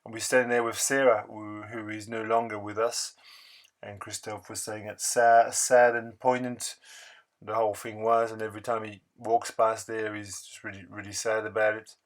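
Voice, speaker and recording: A man narrating a casual vlog voice-over in a halting, unscripted, rambling way. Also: lowish voice, level tone. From France. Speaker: record-collecting video blogger.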